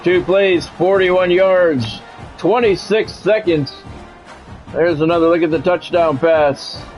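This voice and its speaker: A man's voice: high voice, steady tone, slow, faint music behind. A man doing football play-by-play as a game streamer, in short clipped announcer-style calls mixed with casual chat to viewers.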